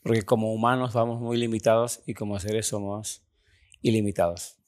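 A man with a Cuban accent, a podcast guest and personal development coach, earnestly telling his life story in slow, emphatic phrases. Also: fairly low pitch, fairly even intonation, darkish tone. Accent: Cuban Spanish. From Cuba. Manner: earnest, slow and emphatic, with sudden quick runs. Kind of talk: storytelling interview answers.

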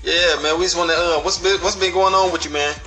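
A man's voice: sits high, steady tone, fast, bright, forceful tone. A man hosting a radio interview, asking questions in an upbeat, chatty way and often saying "man". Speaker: radio host. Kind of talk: casual interview chat. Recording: music playing behind.

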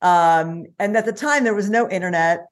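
Middle-aged american lady guesting on a radio show, kinda low voice, rambly and chatty. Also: normal speed. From United States. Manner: chatty, storytelling, many fillers. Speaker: small business owner, guest. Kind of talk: casual interview chat.

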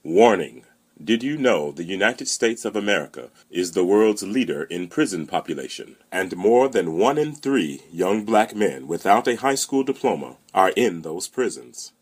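A low, rough-edged man's voice delivers a scripted awareness announcement. It is dramatic and weighty, with wide, emphatic movement in pitch. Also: big pitch swings, moderate pace.

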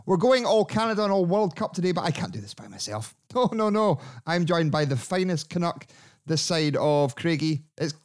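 A man's voice: a little high, animated, moderate pace. A man hosting a podcast in a casual, joking way. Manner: chatty, jokey, reads sponsor plugs. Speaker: podcast host.

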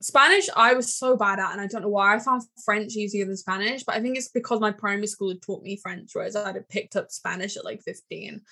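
A woman's voice: medium pitch, brisk, hardly any pauses, pushed and bright, husky. A young woman with an English accent, chatting casually, self-mocking and laughing often. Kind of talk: casual chat.

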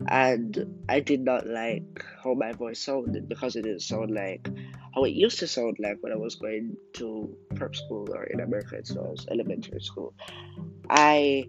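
A young man with a soft, deep voice talking casually and encouragingly on his podcast as an aspiring singer, with loose run-on thoughts and many fillers. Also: normal speed. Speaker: podcast host. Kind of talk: casual solo podcast talk. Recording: dull lo-fi sound over loud guitar music.

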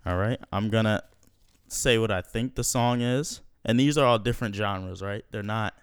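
A man's voice: lowish voice, normal speed, clean tone, no echo, clean studio sound. A young man hosting a casual podcast at home, slangy and laid-back, swearing often. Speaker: podcast host. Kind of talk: casual profane podcast chat.

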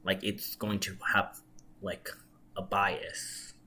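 Bright-voiced podcast co-host with background noise. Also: low voice, flat delivery, takes it slowly. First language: non-English first language. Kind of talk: casual opinionated podcast chat.

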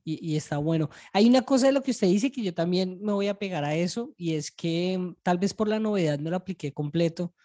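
A man's voice: high-pitched, lively intonation, a little fast, few pauses, dark tone. A man with a Colombian accent hosting a podcast in a casual, informal review chat, phrasing things a little hesitantly. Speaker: podcast host.

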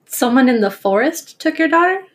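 A woman's voice: high-pitched, expressive, moderate pace, fairly bright tone. A woman playing a composed, firm young police officer with a sarcastic streak in an audio drama. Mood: calming, firm.

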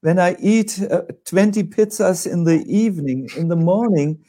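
A man's voice: sits high, normal speed, mellow. A man with a German accent, a spiritual teacher answering questions in a discussion with hesitant fillers and vivid examples.

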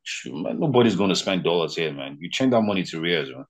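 Low-voiced Nigerian man chatting casually. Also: fast talker. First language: Yoruba. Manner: animated, repeats words, frequent "man" and "you know". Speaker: podcast guest. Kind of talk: casual conversation.

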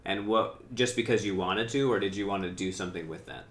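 A man hosts a podcast and asks his questions haltingly, often stopping to restart them. His voice sits low. The room gives it a clear echo. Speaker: podcast host, interviewer. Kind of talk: casual interview questions. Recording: echoey room.

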